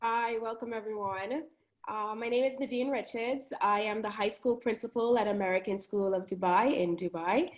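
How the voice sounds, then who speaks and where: Woman principal, earnest, measured, halting ums, video call. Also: mid-range voice, steady tone, poor audio. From New York. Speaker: high school principal, panelist. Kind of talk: webinar panel remarks.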